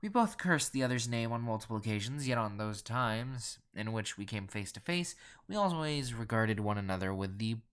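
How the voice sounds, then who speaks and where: A man performs a rich, obsessive collector with a fairly low voice. He is pompous and coolly formal, choosing grand words. The delivery is theatrical first-person narration for a horror audio drama. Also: lively intonation, normal speed, hardly any pauses, a bit bright, smooth. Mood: haughty, reflective. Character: pompous, ruthless wealthy male collector, haughty and obsessive.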